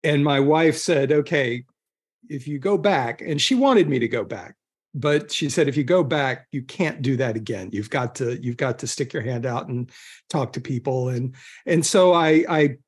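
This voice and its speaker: A man with a middle-pitched voice talks casually as an interview guest. He is a writer from southern California who tells stories haltingly, breaking off and circling back as he searches for the next word. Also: husky. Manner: halting, self-interrupting, groping for words. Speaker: guest author. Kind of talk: casual conversational storytelling.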